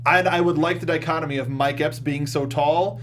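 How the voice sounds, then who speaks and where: A man's voice: a little high, steady tone, fairly quick. A man hosting a movie review show, talking casually in a panel discussion over faint background noise.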